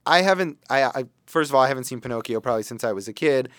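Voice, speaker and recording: A man hosting a casual movie review chat, measured and qualifying his opinions as he goes. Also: mid-range voice, lively intonation, fairly bright tone, no room sound, clean studio sound. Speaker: movie review show host.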